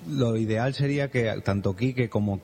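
A man's voice: medium pitch, normal speed, mellow. A man from hosting a casual podcast and introducing his companions. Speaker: podcast host. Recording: music behind the voice. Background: music.

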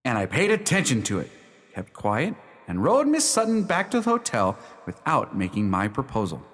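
An actor playing an earnest, lovestruck young gentleman, telling his story formally in a radio drama. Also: medium pitch, wildly swooping pitch, normal speed. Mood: uneasy. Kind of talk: radio drama narration, first person. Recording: long hall reverb.

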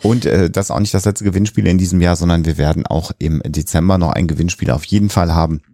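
A man's voice: deep voice, steady tone, quick pace, vocal fry, dark tone, no room sound, clean studio sound. A man talking casually as a podcast host.

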